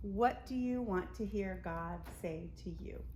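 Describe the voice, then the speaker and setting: A woman's voice: mid-range voice, a little slow, darkish tone. A woman hosting a casual interview in an encouraging, affirming way. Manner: encouraging, often affirming the guest. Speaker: interview host. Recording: echoey room with faint noise.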